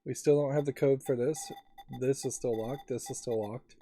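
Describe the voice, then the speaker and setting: A man's voice: medium pitch, fairly even intonation, normal speed, warm tone, slight background noise. A man giving casual let's play commentary on a video game in a musing, analytical way. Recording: faint game music behind.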